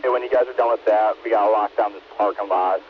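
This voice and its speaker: A man's voice: on the low side, fairly even intonation, fast, dull audio, a little hiss or hum. A man working as a police officer, talking over police scanner radio.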